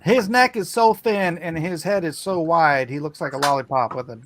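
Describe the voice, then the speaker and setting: A man's voice: a little high, moderate pace. A man from Hawaii hosting a casual livestream chat, joking and teasing his guests and laughing often. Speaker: comic artist host. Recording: clear steady background noise.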